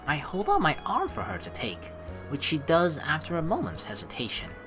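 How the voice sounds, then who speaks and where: Low-voiced woman playfully narrating a game. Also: huge pitch swings, normal speed. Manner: playful, casual, teasing asides. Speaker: let's play streamer. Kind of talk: reading game text aloud with playful commentary. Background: game music.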